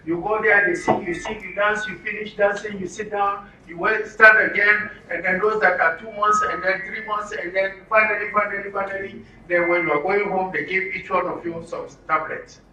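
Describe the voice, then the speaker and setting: A man's voice: sits high, fairly even intonation, moderate pace, hardly any pauses. A man with a Nigerian accent leading an interactive workshop lecture, quizzing listeners and repeating phrases in a didactic way. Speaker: trainer leading an orientation workshop for media workers. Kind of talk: interactive lecture with questions to the audience. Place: echoey room at a live workshop. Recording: roomy echo, distant mic, faint noise.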